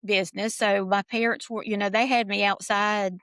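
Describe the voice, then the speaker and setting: A middle-aged Southern woman from Georgia, talking casually on a podcast. She tells homespun stories in an easy, folksy manner, at a middle pitch. The recording is dry and close. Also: little pitch movement, normal speed, fairly bright tone, no echo. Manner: folksy storytelling. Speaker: podcast guest, nursery researcher. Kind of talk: casual chat.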